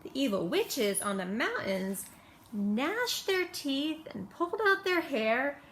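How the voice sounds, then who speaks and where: A woman reading a bedtime story aloud to children in an expressive storytelling style. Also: high-pitched, takes it slowly, slight background noise.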